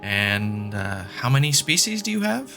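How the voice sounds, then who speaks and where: A man's voice: mid-range voice, sing-song, measured pace, gravelly, bright, forceful tone, full character voice. A man playing a sardonic, skeptical scientist who questions in a wry, hesitant way in a fan audio drama. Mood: wry, doubting. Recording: music under the voice.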